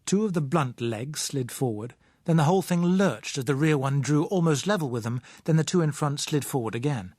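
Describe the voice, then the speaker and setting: A British man reading a first-person novel as audiobook narrator, measured, articulate and dryly wry. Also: on the high side, animated, a little mellow, no room sound, studio quality.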